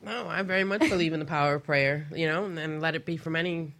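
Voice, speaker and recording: A man's voice: fairly high pitch, fairly even intonation, fast talker, gravelly, sharp tone. A man on a talk show panel, giving his view earnestly.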